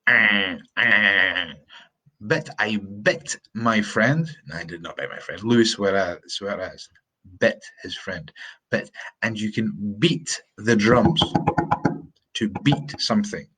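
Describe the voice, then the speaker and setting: Scottish man, English teacher, live stream, low voice, unhurried, repeats himself. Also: pushed and bright, husky, poor audio. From Scotland. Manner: chatty, rambling, repeats words, demonstrates sounds slowly. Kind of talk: live-streamed pronunciation lesson. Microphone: phone or webcam on a live stream.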